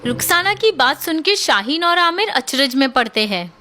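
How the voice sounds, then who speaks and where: A woman reads a scripted tale for children as the storyteller. Her voice is high, bright and very animated in pitch. Light music plays behind the narration. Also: normal speed.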